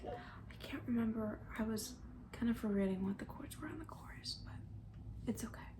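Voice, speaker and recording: A woman doing soft-spoken ASMR roleplay as a camp counselor, chatting in a gentle, breathy, rambling way that often slips into a whisper. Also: fairly high pitch, moderate pace. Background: banjo.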